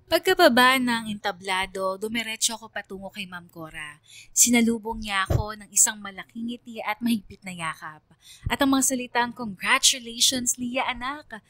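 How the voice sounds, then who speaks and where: Woman acting a young female student, bright voice, earnest reflective narration, sentimental radio drama, dry studio sound with soft music. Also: mid-range voice, no echo, natural voice. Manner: earnest, reflective, deliberate delivery. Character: grateful, quiet young woman student narrating her own story.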